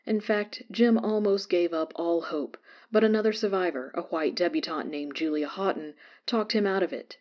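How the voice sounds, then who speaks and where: A woman playing a radio newscaster who reads a disaster report in a measured, formal way. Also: fairly low pitch, dull audio, natural voice. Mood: sober, formal. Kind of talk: scripted news report in audio drama.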